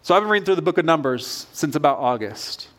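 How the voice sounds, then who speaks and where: High-pitched male pastor, conversational sermon. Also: very animated, quick pace, a bit bright. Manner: informal, self-questioning storytelling.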